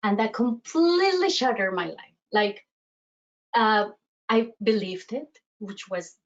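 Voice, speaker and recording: A woman's voice: mid-range voice, big pitch swings, slow pace, highs cut off. A woman from Colombia with a Colombian Spanish accent, giving a coaching webinar presentation with many fillers and restarts. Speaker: self-worth coach.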